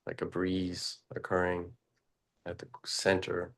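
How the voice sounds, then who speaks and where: A man leading a guided meditation, speaking slowly and haltingly with long pauses and fillers. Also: creaky. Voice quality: very low, flat voice. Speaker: meditation teacher.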